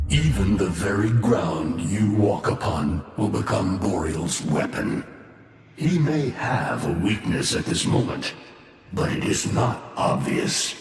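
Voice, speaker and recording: A male actor playing a bitter, ancient fallen warlord in a video game, mocking and grandiose, with theatrical, menacing delivery. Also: on the low side. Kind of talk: dramatic video game voice acting. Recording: cavernous echoing reverb, faint ambient noise.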